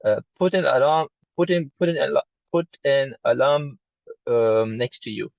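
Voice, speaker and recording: Halting male student, dull lo-fi sound. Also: medium pitch, creaky. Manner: halting, tentative, repeats words. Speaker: student of Arabic. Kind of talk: reading aloud and translating in a lesson.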